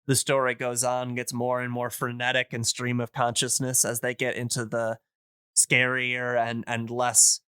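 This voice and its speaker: A man co-hosting a casual podcast discussion, explaining things in a halting, digressive way. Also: mid-range voice, completely flat delivery, bright, forceful tone, clean tone, no room sound, clean studio sound. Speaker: podcast co-host explaining radio history.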